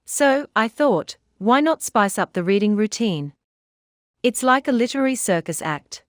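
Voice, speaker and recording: A woman voicing a witty, playful elephant in scripted children's comedy, chatty and teasing with clear wording. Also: fairly high pitch, expressive, unhurried, dry recording, studio quality. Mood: playful, coaxing. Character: witty, playful talking elephant who gives reading tips.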